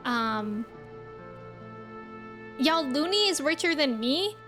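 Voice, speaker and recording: A woman's voice: sits high, sing-song, takes it slowly, over music. A woman game streamer chatting casually with her viewers in a playful, teasing way. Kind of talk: casual livestream chat.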